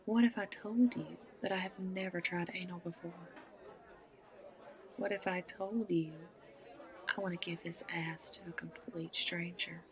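A woman's voice: fairly low pitch, fairly even intonation, measured pace, a faint crowd, low-quality recording. Southern lady, teasing and flirty, takes her time, laughs a lot. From American South. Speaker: woman flirting with a stranger at a bar. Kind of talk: one-sided audio roleplay.

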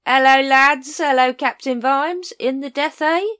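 A woman's voice: high-pitched, measured pace, fairly bright tone, voice pushed up. A woman voicing a whining, wheedling street sausage vendor with pushy salesman patter.

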